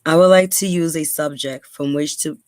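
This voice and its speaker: A woman's voice: low-pitched, steady tone, normal speed, darkish tone, no echo, clean studio sound. Earnest New woman vlogger. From New York, USA. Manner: earnest, many ums and repeats. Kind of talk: casual talk to camera, then reading aloud.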